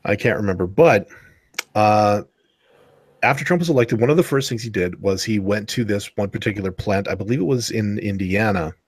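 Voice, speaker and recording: Male podcast co-host, low-pitched, meandering casual delivery. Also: brisk, breathy edge, no echo, studio quality. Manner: rambling, self-correcting. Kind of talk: casual chat.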